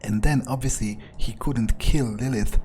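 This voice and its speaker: A man's voice: medium pitch, measured pace, dark tone, faint music behind. A man narrating a game playthrough in character, thinking aloud in a musing, casually profane way. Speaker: gamer playing in character.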